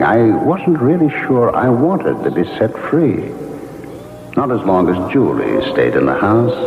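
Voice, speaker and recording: A man's voice: low-pitched, gravelly, full character voice. An actor playing a wistful, century-old ghost of a man in love, musing theatrically in a vintage radio drama. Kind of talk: 1970s radio drama narration. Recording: muffled vintage radio, echoing reverb, loud music.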